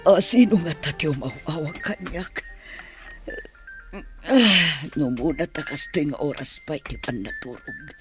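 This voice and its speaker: A woman's voice: sits low, unhurried, long pauses, music in the background. A woman acting an ailing mother in an Ilocano radio drama, pleading and groaning in pain.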